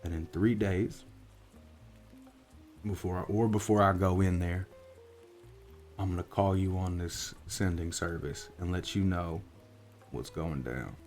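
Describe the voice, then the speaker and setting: A man voicing a gruff, streetwise orc warlock private eye with a leathery voice and an American country accent, in a folksy, reassuring roleplay. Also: low voice, a little slow, pauses often, a little mellow, faint music behind. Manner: folksy, matter-of-fact, reassuring. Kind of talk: soft-spoken ASMR roleplay.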